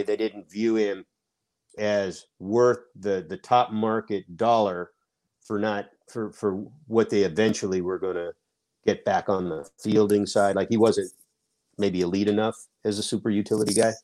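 A man's voice: lowish voice, fairly even intonation, unhurried, a little mellow. A middle-aged man from Seattle, a baseball writer on a sports talk podcast, making his case emphatically and at length. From Seattle, USA. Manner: emphatic, argues a point at length.

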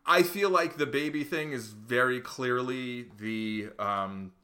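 Bright-voiced man chatting casually. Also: medium pitch, slow. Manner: hesitant, restarts and laughs often. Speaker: podcast co-host. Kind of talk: casual chat.

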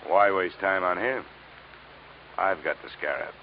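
A man's voice: sits low, unhurried. An actor playing a wry, hard-boiled male investigator, sardonic and dry, in a vintage radio detective drama. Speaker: lead and narrator. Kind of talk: 1950s radio detective acting and narration. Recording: dull, faintly noisy vintage radio recording.

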